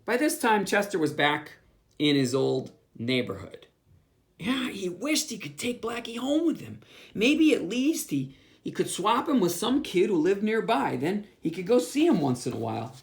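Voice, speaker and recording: A pastor reading a children's picture book aloud, with playful asides. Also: male voice, sits high, normal speed, gravelly. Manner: animated storytelling with asides.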